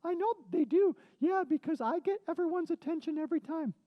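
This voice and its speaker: A performer voicing an excitable, childlike dog puppet who chats eagerly and playfully as co-host of a children's story show. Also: extremely high pitch, brisk, no room sound, lo-fi sound. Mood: excited, playful. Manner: eager, playful, gushing, with frequent interjections.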